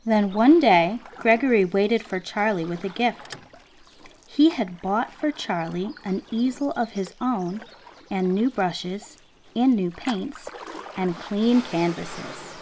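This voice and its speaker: A woman reading a children's picture book aloud expressively, with measured, deliberate phrasing and emphasis on key words. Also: mid-range voice, darkish tone, a little hiss or hum. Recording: classical symphony music playing behind. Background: orchestral classical music.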